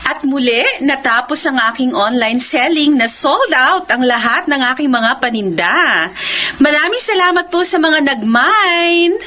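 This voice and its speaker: A woman's voice: high voice, lively intonation, measured pace. A Filipino woman acting in a radio drama as a confident, playful young online seller. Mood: cheerful sales patter. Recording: faint background noise.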